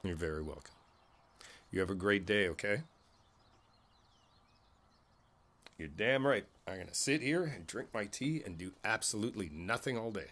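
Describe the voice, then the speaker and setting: A man playing a kindly, philosophical older neighbor in an ASMR roleplay, talking in an easygoing, folksy, rambling way with thoughtful pauses. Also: on the low side, animated, fairly bright tone. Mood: warm, encouraging. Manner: folksy, rambling, pausing, chuckling between thoughts. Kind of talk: ASMR roleplay, one-sided conversational monologue.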